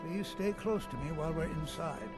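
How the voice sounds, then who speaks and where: A kindly older wizard teacher from a fantasy game, played by a man. The voice is notably high for a man, musing and gently fussy as it instructs. Loud music runs underneath. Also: moderate pace, lightly acted. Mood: gentle, cautionary. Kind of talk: video game character dialogue.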